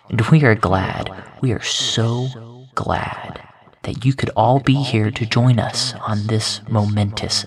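An actor playing a self-important, cult-like chief executive giving a measured, pompous speech in an audio drama. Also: male voice, fairly low pitch, vocal fry, rough recording. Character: smooth, self-important male cult-like corporate CEO.

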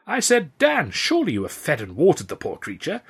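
A man's voice: fairly high pitch, wildly swooping pitch, fairly quick, vocal fry, a bit bright, slightly rough, voice pushed up, full character voice. A man voicing a boastful, theatrical old showman telling emphatic anecdotes in an audiobook. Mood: aggrieved, animated.

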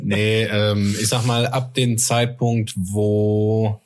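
A man co-hosting a casual fan podcast, speaking informally and haltingly as he searches for words. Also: lowish voice, little pitch movement. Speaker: podcast co-host.

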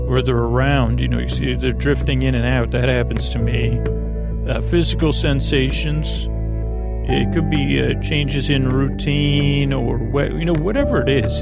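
A man hosting a bedtime podcast in a creaky, lulling voice, meandering hesitantly through tangents. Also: medium pitch. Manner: hesitant, digressive, trailing off into tangents; lulling, soothing. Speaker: host of a bedtime sleep podcast. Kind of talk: rambling, meandering bedtime monologue.

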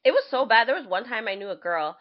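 A young woman co-hosting a podcast in casual chat, animated and sarcastic with mock indignation. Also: fairly high pitch, quick pace, lo-fi sound. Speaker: podcast co-host.

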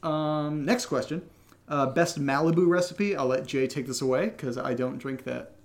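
A man co-hosting a podcast in casual chat, even-keeled, with dry, teasing humour. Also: fairly high pitch, moderate pace. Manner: level-headed, even-keeled. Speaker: co-host of a horror audio drama podcast, reading listener questions.

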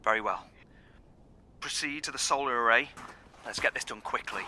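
A man's voice: medium pitch, normal speed, sharp tone, slight background noise. A British man acting in an audio drama as a cautious, dutiful bunker head engineer with formal wording. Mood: businesslike.

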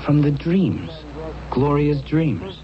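An actor playing an obsessive, superstitious gambler husband in a 1970s radio drama, insistent and agitated, repeating himself. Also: male voice, on the high side, big pitch swings, slow pace, a crowd in the background. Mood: earnest. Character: obsessive, excitable gambler husband, superstitious about winning numbers. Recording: muffled, noisy old radio broadcast.

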